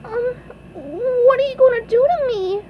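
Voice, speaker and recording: A woman voicing an excitable young male cartoon sea sponge with a squeaky, high-pitched voice. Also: measured pace. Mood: timid, uncertain. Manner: excitable, exclaiming. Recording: dull lo-fi, faint background noise.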